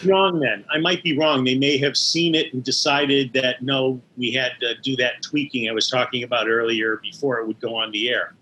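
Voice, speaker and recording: A man's voice: medium pitch, fairly even intonation, normal speed, hardly any pauses, pushed and bright, slight background noise, rough recording. A middle-aged or older man, an interview guest recalling his work in animation with emphatic enthusiasm. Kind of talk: conversational recollection.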